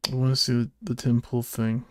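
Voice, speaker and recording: A man's voice: mid-range voice, little pitch movement, fairly quick, dry recording, studio quality. A man streaming, swearing and muttering to himself about his computer. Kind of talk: casual streaming chat.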